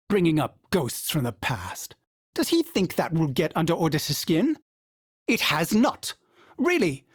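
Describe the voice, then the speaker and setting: A man's voice: slightly rough, dry recording, studio quality, heavily acted. A man voicing a devoted, fussy male ship AI who speaks formally and calls himself by name in the third person. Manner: sudden jumps in pitch and speed, fussy and excitable. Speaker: game character voice. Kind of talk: video game voice lines.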